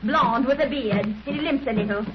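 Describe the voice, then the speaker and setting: A woman's voice: fairly high pitch, normal speed, natural voice. An actress playing a chatty, fretful working-class landlady in a folksy, exclaiming manner, in 1930s radio drama style. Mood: chatty, deferential. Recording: noisy, muffled 1930s radio recording.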